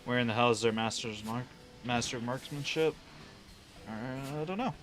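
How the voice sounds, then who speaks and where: A man's voice: mid-range voice, normal speed, a bit bright, soft background music. A man casually commentating a livestream of an online fantasy game, rambling and thinking aloud with casual swearing.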